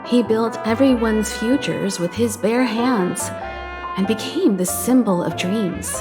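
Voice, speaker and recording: Calm, in-charge crew leader in a game, even and measured, music under her. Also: on the high side. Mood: thoughtful. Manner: measured and authoritative, gives calm orders. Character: poised, composed woman leading a crew. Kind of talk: video game dialogue.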